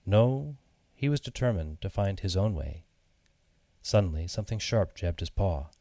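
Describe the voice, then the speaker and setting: A man with a low voice narrates a children's story. His delivery is measured and expressive, clearly scripted reading. The sound is very dry, with no room around it. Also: no room sound. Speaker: audiobook narrator.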